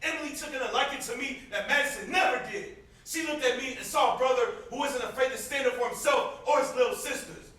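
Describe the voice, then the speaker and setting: In a very echoey, noisy stage recording, a man plays a bitter young man racked with guilt. His voice is high and bright, and he declaims vehemently in amateur stage-drama style. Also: distant mic. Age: young adult. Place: indoor stage, recorded from a distance.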